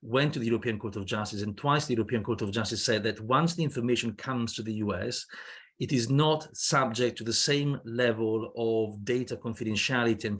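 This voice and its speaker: Swiss-accented man, halting and filler-heavy. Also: mid-range voice, a bit bright. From Switzerland. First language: not English. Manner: hesitant, many fillers and restarts. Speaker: lawyer guest. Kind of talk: panel discussion on a video call. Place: indoor room with wooden ceiling. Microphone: laptop webcam on a video call.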